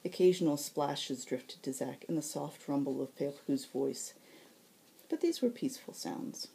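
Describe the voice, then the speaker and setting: A woman's voice: low-pitched, a little slow, gravelly, mellow, poor audio. A woman reading her novel aloud as scripted audiobook narration. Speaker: author reading own novel.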